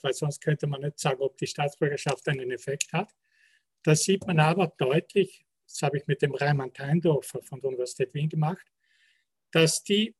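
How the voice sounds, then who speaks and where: Husky man presenting research. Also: a little high. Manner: measured, explanatory, citing percentages. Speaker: sociologist presenting survey research. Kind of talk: panel talk presenting study results.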